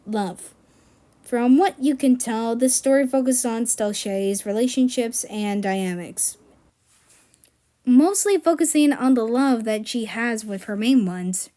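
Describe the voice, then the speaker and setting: A woman's voice: on the high side, a little mellow. A woman narrating a scripted video as a fan writer presenting a personal project.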